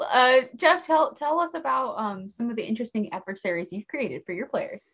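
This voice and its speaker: A woman's voice: a little high, big pitch swings, normal speed, a bit bright, slightly rough, dull audio. A giggly woman hosts a podcast, her voice rising and falling a lot as she talks. She leads the chat and laughs easily between her lines. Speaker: podcast host. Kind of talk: casual chat.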